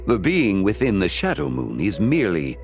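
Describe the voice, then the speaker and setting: A man playing a calm, learned, courteous scholar of ancient lore in a video game, speaking in a formal, measured, eloquent way. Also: low voice, music in the background, heavily acted. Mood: grave, reflective. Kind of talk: video game dialogue acting.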